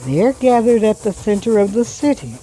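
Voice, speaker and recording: A woman's voice: on the high side, big pitch swings, normal speed, mellow, voice pushed up, low-quality recording. A woman voicing a commanding, hearty male train conductor in a storybook, emphatic and projecting. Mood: announcing.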